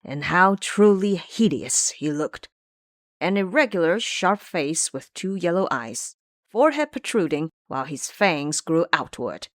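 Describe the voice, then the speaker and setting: A woman's voice: lowish voice, sing-song, measured pace, no room sound, studio quality. A woman narrating an audio drama in a scripted, dramatic storytelling style.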